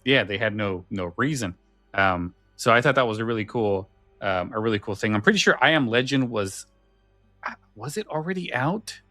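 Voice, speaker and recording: A man with a lowish voice chats as a podcast co-host, pausing and repeating himself as he gathers his thoughts. The sound is very dry, with faint music behind him. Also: animated, sharp tone, no room sound. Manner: halting, repeats phrases as he thinks. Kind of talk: casual podcast discussion.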